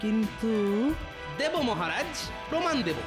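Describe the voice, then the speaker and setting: Clever, high-pitched cartoon jester man. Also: big pitch swings, unhurried. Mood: sly, confident. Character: clever, bold male court jester. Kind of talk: Bengali comedy cartoon dubbing. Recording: music behind the voice. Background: background music.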